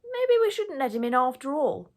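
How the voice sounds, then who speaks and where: A woman's voice: sits high, very animated, normal speed, a little mellow, voice pushed up. A woman playing a rich storybook master of the house, imperious, emphatic and indecisive. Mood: doubtful.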